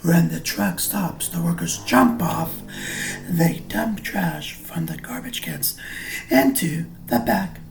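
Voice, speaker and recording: A man reading a picture book aloud as a teacher, explaining things simply and deliberately for young children. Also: fairly high pitch, animated, gravelly, faint music behind. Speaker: preschool or early grade teacher.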